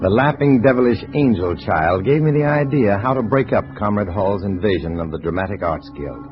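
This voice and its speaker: A man's voice: lowish voice, animated, fairly quick, over loud music, natural voice. A man playing a cool, wry undercover agent, narrating tersely in an old radio drama on a dull recording. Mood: dry, tense. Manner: terse, dry, hard-boiled. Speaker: narrator and lead actor. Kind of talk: 1950s radio drama acting, scripted narration.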